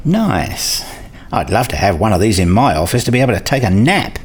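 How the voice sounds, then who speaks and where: A man's voice: on the low side, very animated, fairly quick, husky. An actor playing a curious, wry young male academic with articulate, bantering delivery in a radio play. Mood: friendly, inquisitive. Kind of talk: radio play dialogue and first-person narration.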